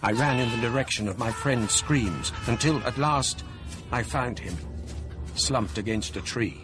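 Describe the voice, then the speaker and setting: An actor narrating as a young scholar recalling a night of terror in a radio drama. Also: male voice, mid-range voice, lightly acted. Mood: tense. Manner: tense, measured storytelling. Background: eerie music.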